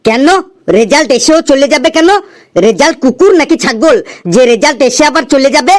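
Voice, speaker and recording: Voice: high-pitched, a little fast, natural voice. An actor voicing a cheeky, impatient young student in a comedy cartoon, arguing and talking back. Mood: impatient, complaining.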